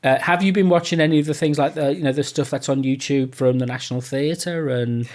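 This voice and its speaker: A man's voice: a little high, brisk, a little mellow. A man hosting a casual podcast chat, speaking in a rambling, tentative way and often repeating his phrases. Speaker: podcast host.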